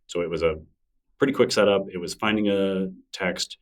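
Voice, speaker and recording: A man with a very low voice talks in an easy, enthusiastic way during an interview, often breaking into laughter. He sits a little back from the microphone. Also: steady tone, normal speed, distant mic. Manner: chatty, enthusiastic, laughs easily. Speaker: podcast guest, newsletter creator. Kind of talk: casual interview conversation.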